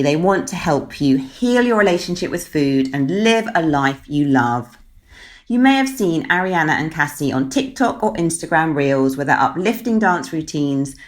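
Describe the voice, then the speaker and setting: A woman hosting a podcast as an eating disorder therapist, reading a scripted intro and then chatting warmly with a guest, laughing often. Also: sits low.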